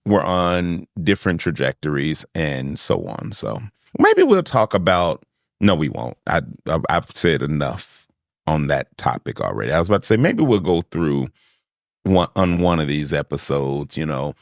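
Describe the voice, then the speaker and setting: A man's voice: a bass voice, wildly swooping pitch, normal speed, gravelly, no echo, studio quality. A man hosting a podcast, chatting casually and animatedly, laughing often and acting out quotes. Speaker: podcast host. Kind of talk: casual chat.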